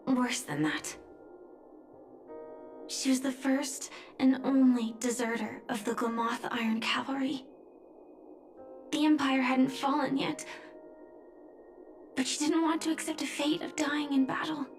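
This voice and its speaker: A woman voicing a grieving but resolute young knight in a video game, solemn, restrained and softly breathy. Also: high-pitched, level tone, a little slow, long pauses. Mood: solemn, resigned. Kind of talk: dramatic video game voice acting. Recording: roomy echo, music underneath. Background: music.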